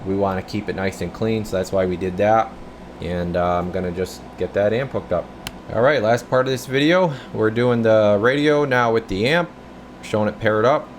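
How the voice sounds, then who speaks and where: A man with a fairly low voice talks casually through a hands-on technical demo. He is methodical and matter-of-fact, like a technician at work. A faint steady hum of room noise sits behind him. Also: moderate pace. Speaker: radio technician, channel host.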